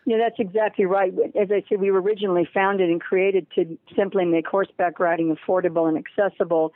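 An American woman with a slightly low voice is interviewed over a thin, phone-like line. She explains her program emphatically, repeating words to drive them home. The sound is close and dry, with little room to it. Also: fairly even intonation, brisk, no room sound. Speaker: guest, head of a horse-riding charity.

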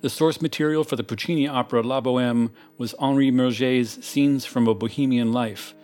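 A man hosting with scripted essay narration in a measured, erudite, slightly wry manner. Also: mid-range voice, steady tone, darkish tone. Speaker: host. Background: plucked guitar music.

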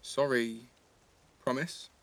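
A man's voice: medium pitch, steady tone, slow pace, fairly bright tone. An actor playing a cheeky, blunt teenage boy with an English accent, teasing and slangy in a naturalistic audio drama. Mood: wry, probing.

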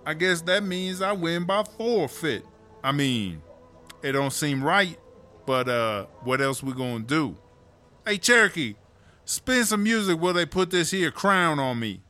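A man's voice: on the high side, sing-song, a little slow, sharp tone, soft background music, heavily acted. A man playing a brash, boastful big woman rapper in a prison comedy audio drama, with slangy, sassy swagger.

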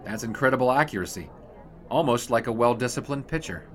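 An actor playing a courteous but persistent sportswriter in a period audio drama, measured and probing. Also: male voice, mid-range voice, expressive, fairly bright tone, natural voice. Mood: earnest, pressing. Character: polite but persistent young male 1920s sportswriter.

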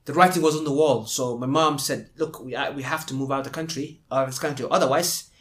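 A man's voice: mid-range voice, quick pace, a bit bright. A young man from Abu Dhabi with an Indian-tinged English accent, telling his story in a casual interview, rambling with many restarts. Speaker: guest.

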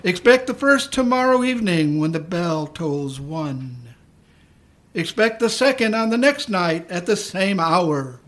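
A man's voice: sits high, fairly bright tone, exaggerated voice. A man playing a stern, mournful male ghost of a dead businessman in a community radio play, speaking in a solemn, declamatory way. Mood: grave warning.

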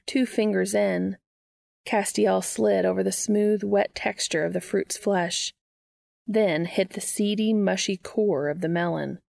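A woman's voice: medium pitch, fairly even intonation, measured pace, creaky, darkish tone, no room sound, clean studio sound. A woman reading fan fiction aloud as narration, in the style of an audiobook.

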